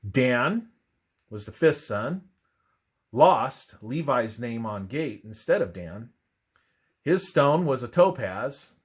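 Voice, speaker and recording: A man reading a scripted religious narration aloud in a deliberate way, halting between short phrases. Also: medium pitch, lively intonation, breathy edge. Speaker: reader of a religious presentation.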